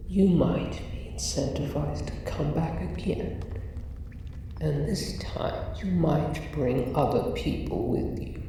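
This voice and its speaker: Slow, echoing, gravelly dragon acted by a man. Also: fairly high pitch, mellow. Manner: slow, deliberate, mock-polite and condescending. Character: huge, condescending, possessive male dragon guarding his treasure. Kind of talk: improvised ASMR roleplay monologue. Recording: roomy echo, distant, faint noise, cave ambience. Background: cave ambience.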